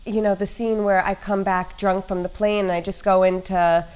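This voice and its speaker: A woman actress talking casually in an interview, animated and full of fillers. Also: medium pitch, normal speed, clean tone, low-quality recording.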